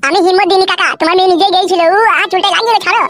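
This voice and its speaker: A woman's voice: very high-pitched, fairly even intonation, racing. A woman voicing a cheeky, whining young village lad in a comedy cartoon, mouthy and protesting. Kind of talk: amateur comedy cartoon dialogue. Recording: music behind the voice.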